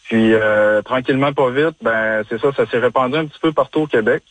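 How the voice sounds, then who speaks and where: A young man from Quebec with a Quebec French accent, talking casually as a radio guest, halting with many pauses and restarts. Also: medium pitch, dry recording. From Chaudière-Appalaches, Quebec. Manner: halting, frequent hesitations and restarts. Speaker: guest, bloodhound handler.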